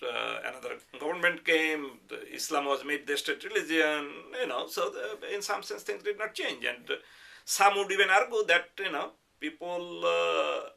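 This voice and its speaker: Bright-voiced Bengali-accented man, gravelly edge. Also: a little high. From Bangladesh. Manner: hesitant, measured, qualifies statements. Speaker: interviewee, professor. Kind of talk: reflective oral history interview.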